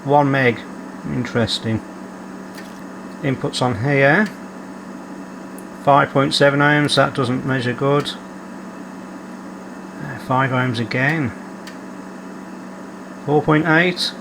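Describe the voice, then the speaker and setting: A man's voice: mid-range voice, fairly even intonation, slow, gravelly, long pauses, noisy background. A man hosting a repair video, methodically talking through his workshop fault-finding and reading out measurements as he goes. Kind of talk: calm narrated workshop repair. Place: home workshop.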